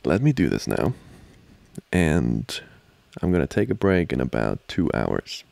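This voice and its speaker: A man playing an amorous, giggly student boyfriend in an intimate ASMR roleplay, talking haltingly and teasingly close to the mic. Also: a bass voice, sing-song, creaky, no room sound, heavily acted. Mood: teasing, flustered. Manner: halting, soft-spoken, chuckling, teasing. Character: amorous, giggly young male student boyfriend trying to study. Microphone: close microphone, dry.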